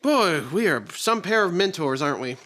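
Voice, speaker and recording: Comic sidekick, played by a man: high-pitched, piercingly bright, excitable and animated. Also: normal speed, full character voice. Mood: chipper. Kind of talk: scripted comedy dialogue.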